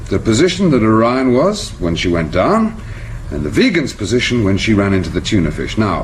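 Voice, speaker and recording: A man's voice: lowish voice, a little hiss or hum. An actor playing an earnest male scientific expert in a radio drama, insistent and explanatory. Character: earnest male iceberg expert, stubbornly sure of his theory.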